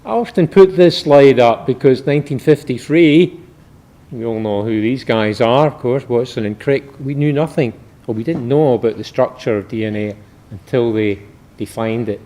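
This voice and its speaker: A man, a medical researcher, giving a public academic lecture in a measured, informal explaining manner. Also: mid-range voice, dark tone.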